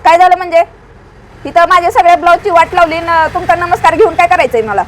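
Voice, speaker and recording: Woman playing angry female customer, prank call, high-pitched, blunt, scolding, stubborn, rural Maharashtrian accent. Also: quick pace, a little hiss or hum, poor audio. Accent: rural western Maharashtrian Marathi.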